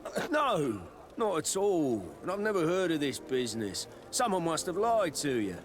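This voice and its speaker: A man's voice: fairly high pitch, very animated, moderate pace, background noise. A man playing a wary, blunt armorer in a video game, a guarded salesman. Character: wary, blunt male armorer and weapons merchant. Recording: music behind the voice.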